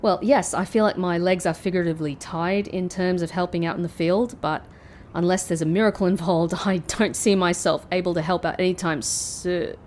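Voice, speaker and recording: An actress playing a guarded, sardonic former secret agent in an audio drama, polite and measured with a dry wit. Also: female voice, lowish voice, fairly even intonation, a little mellow, slight background noise, close to an everyday voice. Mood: wry, measured. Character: guarded, sardonic woman, former secret agent turned call-centre worker.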